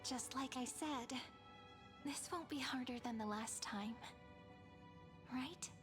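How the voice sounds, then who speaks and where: A woman voicing a wistful, earnest young storyteller in a video game, measured and tender. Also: high voice. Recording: music over the voice.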